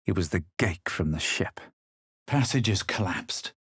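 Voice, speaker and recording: An actor playing a self-assured, haughty fantasy adventurer in a video game, husky and dramatic in delivery. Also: male voice, low-pitched, animated, no room sound, clean studio sound, heavily acted. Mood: grave, measured. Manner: measured, dramatic, superior. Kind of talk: scripted game character lines, first-person narration and spell incantations.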